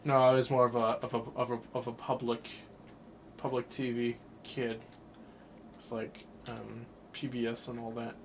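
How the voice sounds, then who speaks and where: A man's voice: mid-range voice, moderate pace. Young guy, 20, streaming a game and just chatting, rambly, gets hyped sometimes, faint hum in the back. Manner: rambling, with bursts of excitement at the game. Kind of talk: casual chat while livestreaming a game.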